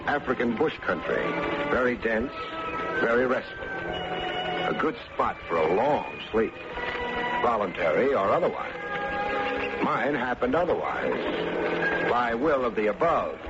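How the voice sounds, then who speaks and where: A man acting a wry, unflappable private detective in an old-time radio drama, with a deadpan, sardonic delivery. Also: mid-range voice, measured pace, long pauses, over loud music. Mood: dry narration. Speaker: lead actor and narrator of a detective serial. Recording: muffled, noisy vintage radio recording.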